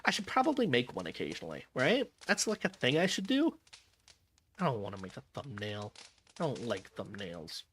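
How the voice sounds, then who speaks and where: A man's voice: medium pitch, wildly swooping pitch, normal speed, fairly bright tone, a little hiss or hum. A man chatting casually to his stream audience, rambling and correcting himself with wry asides, tired and a little jet-lagged. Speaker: vtuber streamer.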